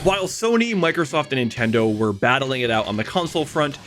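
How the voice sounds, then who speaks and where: A man in his thirties narrating a scripted video essay in an emphatic, wry way with dramatic pauses. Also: medium pitch, expressive. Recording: music behind the voice. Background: background music.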